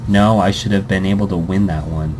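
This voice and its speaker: A man's voice: low-pitched, steady tone, a little fast. A man doing casual let's play commentary, reading game text aloud and breaking off into rambling asides. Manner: rambling, self-interrupting asides. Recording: noisy amateur home recording.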